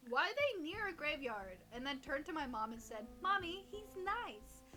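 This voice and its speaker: A woman's voice: high voice, very animated, normal speed, music in the background. A young woman co-hosting a casual chat, reading creepy stories aloud in a giggly, teasing, irreverent way. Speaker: co-host reading listener stories.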